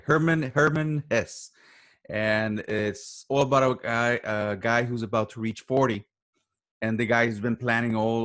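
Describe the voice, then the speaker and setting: A man talking casually as a podcast guest, halting and repeating his words and phrases as he goes. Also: mid-range voice, fairly bright tone. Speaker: podcast guest, writer and voice actor. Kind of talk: casual interview chat.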